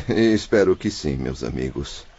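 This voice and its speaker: Audio drama role: troubled, grateful male spirit played by a man, weary, very low, husky. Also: very animated, slow, darkish tone, full character voice. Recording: dull, muffled lo-fi audio.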